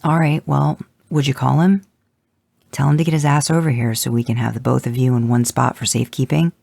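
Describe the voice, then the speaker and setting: A man's voice: mid-range voice, flat delivery, fairly quick, creaky, a little mellow, no room sound. A man reading fan fiction, voicing a level-headed male hunter who gives firm, urgent instructions.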